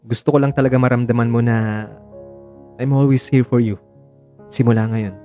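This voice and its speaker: Radio drama boyfriend, acted by a man: eager, tender, conversational, dark soft tone over light music. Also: mid-range voice, fairly even intonation, normal speed, lightly acted. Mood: tender, reassuring. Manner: gentle, reassuring, conversational Taglish.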